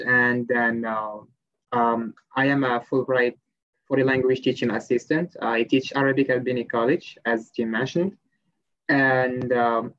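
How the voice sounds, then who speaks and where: A man's voice: medium pitch, no room sound, highs cut off. A young man from Morocco giving a live online presentation on a video call, hesitant and full of fillers. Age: young adult. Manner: hesitant, many fillers and restarts. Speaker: guest presenter, language teaching assistant. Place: small room at home, indoors. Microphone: laptop webcam, video call.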